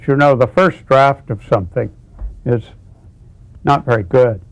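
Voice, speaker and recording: Elderly man in his eighties, halting interview talk. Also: medium pitch, dry recording. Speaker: author being interviewed.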